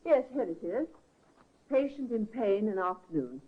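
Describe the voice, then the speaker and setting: An actress playing a composed nurse accused of murder, speaking coolly and precisely in a 1950s radio drama. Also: female voice, medium pitch, big pitch swings, normal speed, husky. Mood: guarded calm. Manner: cool, precise. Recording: tinny old radio broadcast.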